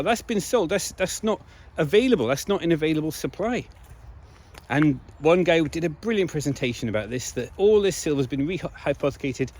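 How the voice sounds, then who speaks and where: A slightly hoarse man speaking in a casual, emphatic monologue to viewers, repeating key phrases for stress. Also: on the high side. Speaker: video blogger.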